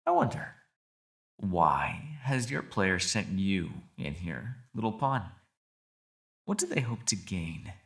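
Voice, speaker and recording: A man's voice: lowish voice, big pitch swings, takes it slowly, fairly bright tone, slightly rough, exaggerated voice. A man playing a captive kitsune, a sly and menacing fox spirit, with silky, taunting, mock-polite delivery in an ASMR roleplay. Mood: sly, probing.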